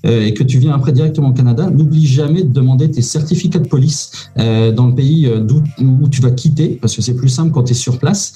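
A man talks through casual advice, stopping often on fillers. His voice is very dark and mellow. Faint music plays behind him. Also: medium pitch, a little fast, hardly any pauses. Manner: hesitant, many "euh" fillers, informal. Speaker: immigration consultant guest.

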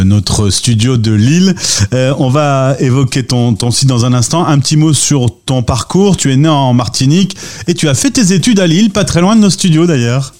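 A man's voice: medium pitch, a little mellow. Upbeat radio host man. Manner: chatty, upbeat interviewer. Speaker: podcast host. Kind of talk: radio interview. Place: radio studio.

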